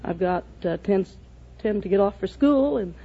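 A woman's voice: mid-range voice, animated, normal speed, husky. A middle-aged woman answering a quiz host's questions as a contestant, plain-spoken and hesitant. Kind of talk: unscripted interview answers. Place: stage before a studio audience. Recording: phone-like old radio recording, faint noise.

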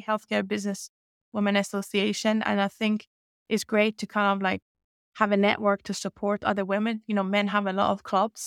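A woman's voice: medium pitch, gravelly, smooth, no echo, studio quality. A woman in her fifties who grew up in Iran and now speaks with a mixed Scottish-English accent, talking steadily through her career as an interview guest. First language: Persian. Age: 50s. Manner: fluent, steady telling of her career with fillers. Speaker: guest, company founder. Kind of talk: conversational interview.

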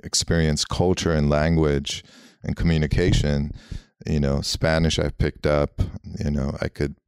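A man from Los Angeles, a native Armenian speaker, talks in an extremely low, gravelly register that stays smooth and resonant. He reflects on his work as an artist and architect in a loose, meandering interview style, leaning on fillers. The recording is close and dry, with no room sound. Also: flat delivery, unhurried, darkish tone, no echo. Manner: musing, meandering, many "you know" fillers.

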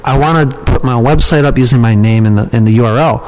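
A man's voice: medium pitch, fast, darkish tone, poor audio. Plain-spoken man chatting casually in an interview. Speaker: podcast guest, email marketer and copywriting trainer.

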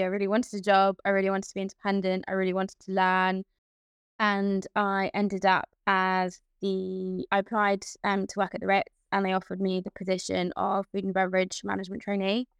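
Smooth-voiced young woman, flat delivery. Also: mid-range voice, fairly quick, no echo. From Hong Kong. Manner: chatty, with frequent fillers and restarts. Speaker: guest, events professional. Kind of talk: casual interview.

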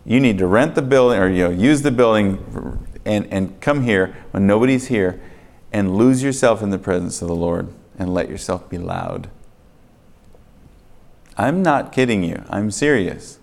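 A man preaching in a church, earnest and swinging between quiet passages and emphatic ones. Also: fairly low pitch, measured pace, vocal fry. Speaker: pastor. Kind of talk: sermon. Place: church sanctuary.

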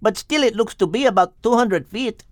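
A man's voice: high-pitched, normal speed, no room sound, clean studio sound, pitched above the performer's own voice, natural voice. A man voicing a small, earnest male flying creature in a children's audiobook. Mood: earnest, concerned. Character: small male Spanish-speaking flying creature, earnest nectar-sipping scout.

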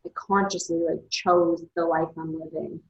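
A woman's voice: low voice, flat delivery, moderate pace, highs cut off. Reflective woman in an echoey room. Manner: candid, reflective, many "like" fillers. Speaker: guest, author and coach. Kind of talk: casual interview conversation.

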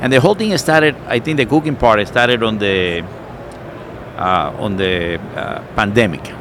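A middle-aged man from Cuba speaks with a Cuban accent in a lowish voice, pausing often and reaching for words with "uh" and "you know." The talk is recorded outdoors, with clear street and traffic noise behind him. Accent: Cuban Spanish. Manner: searching for words with many fillers. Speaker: cigar maker. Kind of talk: casual chat.

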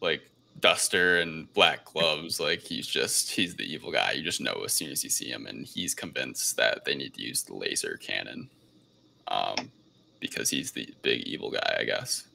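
Gravelly, very low-voiced man chatting. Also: animated, moderate pace, pushed and bright, slight background noise. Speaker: podcast host recapping a film. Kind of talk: casual film review chat.

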